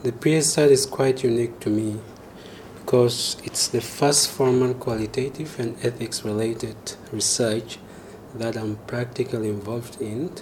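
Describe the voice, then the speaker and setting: A man's voice: medium pitch, steady tone, a little slow, darkish tone, slight background noise, poor audio. A man with a South Sudanese accent giving a short prepared testimonial as a researcher.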